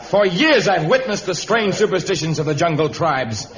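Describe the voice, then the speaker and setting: An actor playing a noble, commanding jungle hero with a formal, declamatory delivery in an old radio adventure. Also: male voice, sits high, lively intonation, normal speed, fairly bright tone. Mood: commanding, indignant. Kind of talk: 1950s-style radio adventure acting. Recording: muffled old radio recording.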